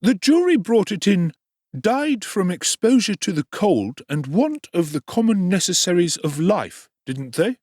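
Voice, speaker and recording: Sowerberry, a man doing a fawning high-voiced undertaker. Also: very animated, a little mellow, dry recording, studio quality, heavily acted. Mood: musing. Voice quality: high, drawn-out, wheedling agreement. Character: fawning middle-aged male undertaker, ingratiating and sly. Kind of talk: dramatic audiobook acting.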